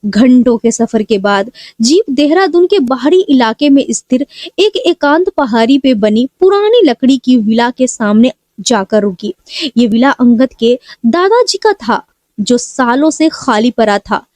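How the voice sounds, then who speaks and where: High-voiced woman narrating a story. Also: sing-song, measured pace, darkish tone, no room sound. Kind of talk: scripted dramatic story narration.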